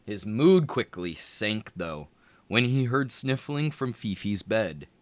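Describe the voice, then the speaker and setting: A man reading a children's fantasy book aloud, expressive and clear, with small voice shifts for the characters. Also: fairly low pitch, slow, no room sound. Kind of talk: read-aloud storybook narration.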